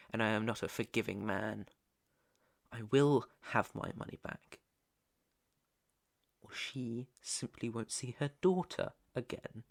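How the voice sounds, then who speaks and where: A British man playing a menacing, teasing mob boss's son in an intimate ASMR roleplay, with a deliberate, taunting delivery. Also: lowish voice, vocal fry. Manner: slow, deliberate, taunting, swearing. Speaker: roleplay audio performer.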